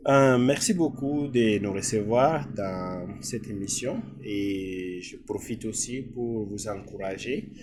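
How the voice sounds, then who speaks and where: Man from DR Congo, middle-aged guest, halting and thoughtful. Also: fairly low pitch, background noise. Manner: hesitant, many filler sounds. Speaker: guest physician. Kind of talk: interview answers.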